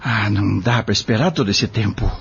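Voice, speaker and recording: A Brazilian man playing a stubborn, anxious father, expressive and earnest, in an audio drama. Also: on the low side, brisk, vocal fry, breathy edge, highs cut off, full character voice. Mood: worried. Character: stubborn, anxious young father and farm worker. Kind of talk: audio drama acting in Portuguese.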